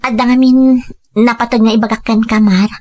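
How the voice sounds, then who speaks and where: A woman's voice: on the high side, steady tone, brisk, a little mellow, close to an everyday voice. A woman playing an ambitious young woman tired of poverty, firm yet apologetic, in an Ilocano radio drama.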